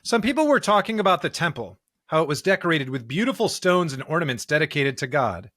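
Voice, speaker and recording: A man's voice: fairly high pitch, big pitch swings, fairly quick, a bit bright, no room sound, clean studio sound. An American man co-hosting a church podcast, chatting casually and reading scripture. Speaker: podcast co-host and pastor.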